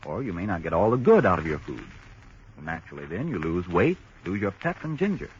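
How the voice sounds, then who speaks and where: A male radio announcer from the 1940s with a low, lively, persuasive delivery. He speaks smooth and measured. The sound is dull and lo-fi, with faint hiss.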